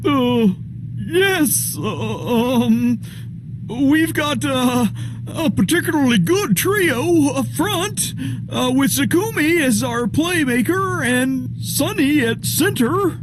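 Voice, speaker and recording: A woman voicing a flustered, easily panicked football coach in an animated series, hesitant and stammering, over loud music. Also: high-pitched, takes it slowly, noisy background. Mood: nervous. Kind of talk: animated series dub acting.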